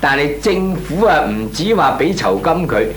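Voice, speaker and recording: Wheedling, stammering Hong Kong employee, animated. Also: male voice, on the high side, moderate pace, slight background noise. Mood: cheeky insistence. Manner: stammering, deferential, argues pompously. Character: wheedling, nervous but cheeky male employee. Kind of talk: Cantonese comic radio drama acting.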